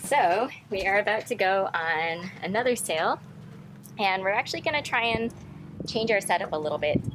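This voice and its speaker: A woman's voice: medium pitch, animated, moderate pace, noisy background. A young woman co-hosting a sailing vlog, talking casually and laughing easily as she explains boat jobs outdoors on a docked sailboat. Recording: music playing underneath.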